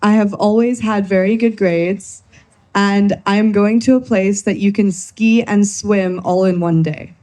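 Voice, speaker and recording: A woman's voice: mid-range voice, fairly even intonation, unhurried, warm tone. A young woman from Kenya presenting to a workshop audience, friendly and playful as she runs a quiz. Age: young adult. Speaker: workshop speaker.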